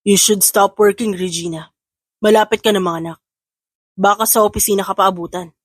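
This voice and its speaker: A woman's voice: medium pitch, fairly even intonation, moderate pace, no echo, close to an everyday voice. A woman with a Filipino accent voicing a possessive, brooding man in love in an audiobook romance, terse and mixing two languages. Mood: low, concerned. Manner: terse, switches between English and Tagalog.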